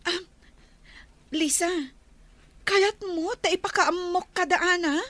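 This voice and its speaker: A woman's voice: extremely high pitch, fairly even intonation, slow, fairly bright tone, no echo, exaggerated voice. A woman playing a fretful, concerned confidante in a radio drama. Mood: concerned, surprised. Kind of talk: Ilocano radio drama acting.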